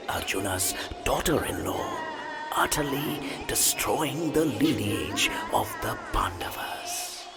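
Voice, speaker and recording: A man playing an ancient god who narrates myths in a video game, theatrical and weighty, dwelling on his words. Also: medium pitch. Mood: ominous, storytelling. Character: ancient male god, grand storyteller of myths.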